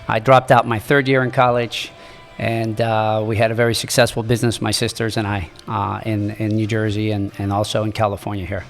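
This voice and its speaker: A man in his sixties from Morocco, a native French speaker, telling his life story as a podcast guest in a halting, earnest way. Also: fairly low pitch, little pitch movement, gravelly, long reverb tail, soft background music. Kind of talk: reflective storytelling in an interview.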